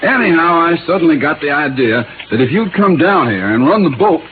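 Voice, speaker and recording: An actor playing a gruff but good-natured insurance office manager with a bad ankle, in old radio drama style. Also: male voice, a little high, animated, fairly quick, natural voice. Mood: good-natured banter. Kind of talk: 1950s-60s radio drama acting. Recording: noisy, muffled old radio broadcast.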